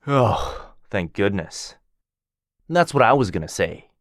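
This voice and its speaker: A man's voice: fairly low pitch, very animated, slow pace, no room sound, studio quality. A man voicing a cocky, jealous werewolf who mocks his rival and teases with a lot of bounce. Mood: wary. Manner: cocky, mocking, bouncy teasing. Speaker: voice actor in boyfriend roleplay. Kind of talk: ASMR roleplay acting.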